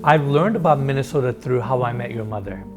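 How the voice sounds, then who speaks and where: A man's voice: mid-range voice, brisk, over music. A man from Turkey with a Turkish accent, hosting an enthusiastic walkthrough of a large house. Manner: enthusiastic, showcasing. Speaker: host. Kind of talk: home tour presentation.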